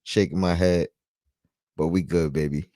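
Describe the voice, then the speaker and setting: A man's voice: low-pitched, fairly even intonation, unhurried, warm tone, dry recording, clean studio sound. A man co-hosting a casual fan podcast, laid-back and easygoing, often adding "you know what I mean.". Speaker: podcast co-host.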